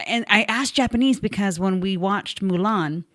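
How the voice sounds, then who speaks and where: A woman hosts a casual interview over a video call. She talks playfully and excitedly, and she breaks into exclamations. Her pitch sits in an ordinary middle range. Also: moderate pace. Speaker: interview host.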